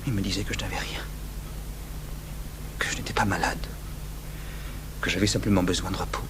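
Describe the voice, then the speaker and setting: An actor in a French radio drama playing a tormented, remorseful man who confesses his murderous compulsion in an anguished, halting way. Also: low voice, fairly even intonation, natural voice. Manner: anguished, halting, swinging from outbursts to hushed murmurs. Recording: noisy, humming 1980s radio broadcast tape.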